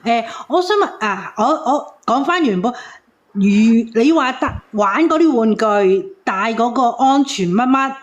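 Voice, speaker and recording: A woman's voice: on the high side, lively intonation, measured pace, lo-fi sound. A woman calls in. She is polite yet insistent, starting and restarting as she tries to put her question. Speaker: caller. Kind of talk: casual questioning.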